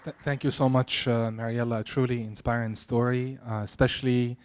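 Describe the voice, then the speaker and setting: A man moderating a panel, thanking a speaker in a halting way and repeating words. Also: medium pitch, level tone, slight background noise.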